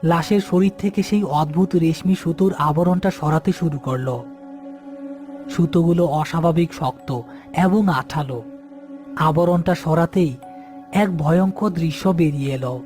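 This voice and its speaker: A man's voice: on the high side, darkish tone. A man narrating a scripted horror story as its storyteller. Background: background music.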